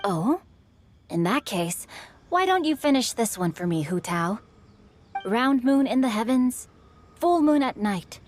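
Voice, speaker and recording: Slow, poised, poetic young lady. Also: on the high side, sing-song, a little mellow, a little hiss or hum. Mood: challenging. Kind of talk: video game voice acting.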